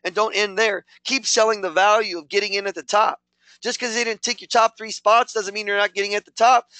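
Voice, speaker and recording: A man's voice: high-pitched, sharp tone, dry recording. A man giving a punchy, repetitive motivational training talk to a network marketing team. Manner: punchy, repetitive, hyped coaching.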